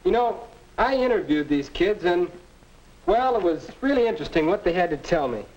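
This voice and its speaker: A young man with an American accent playing a confident high school reporter who earnestly preaches advice to a friend in a 1950 instructional film. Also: very animated, moderate pace, a little mellow. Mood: earnest, probing. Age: young adult. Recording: dull 1950 film soundtrack.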